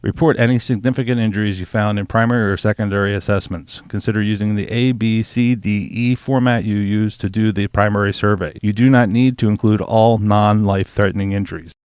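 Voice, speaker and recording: A male narrator with a low-pitched voice reads scripted instruction. The sound is dry, with no room around it. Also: fairly even intonation, fairly quick, hardly any pauses, darkish tone, clear, resonant tone, dry recording.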